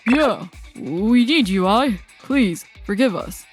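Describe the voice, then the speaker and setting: A woman's voice: mid-range voice, animated, slow, faint music behind, voice pushed down. A woman voicing a whiny, cowardly divorced father in a manga dub, pleading and flustered.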